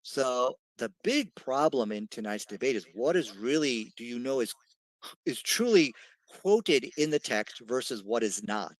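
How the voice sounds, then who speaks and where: A man's voice: medium pitch, animated, measured pace, no room sound, low-quality recording. A man with an English accent debating in an assertive, dismissive way, asking rhetorical questions, on a close mic. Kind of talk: online debate.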